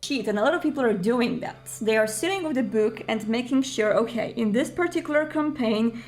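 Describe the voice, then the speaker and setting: A woman's voice: a little high, brisk, a little mellow. A woman presenting tips in an explanatory advice monologue. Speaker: video presenter giving roleplaying tips.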